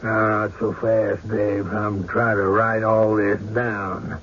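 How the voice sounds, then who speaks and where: An actor playing a deliberate, sceptical small-town sheriff with folksy speech in an old-time radio drama. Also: male voice, fairly low pitch, flat delivery, fairly bright tone. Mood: sceptical, questioning. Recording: noisy, dull lo-fi radio recording.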